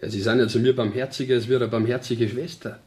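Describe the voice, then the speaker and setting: A jovial Bavarian jailbird played by a male actor in a radio drama. He speaks in flowery, courteous dialect with a medium-pitched voice. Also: little pitch movement, fast, a little mellow, natural voice. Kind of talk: 1970s German radio play acting in dialect.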